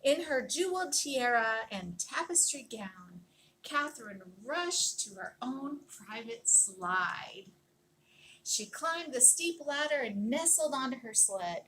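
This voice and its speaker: A woman reading a children's picture book aloud in an expressive, dramatic storytelling manner, leaning on key words and drawing them out. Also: sits high, slight background noise, poor audio. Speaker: storybook reader.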